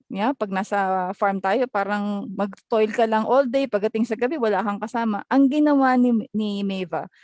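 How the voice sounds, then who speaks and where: A woman's voice: on the high side, a little mellow, no room sound. A Filipino woman co-hosting a webinar on a video call, chatting in a playful, teasing way and switching languages. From Philippines. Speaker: webinar co-host.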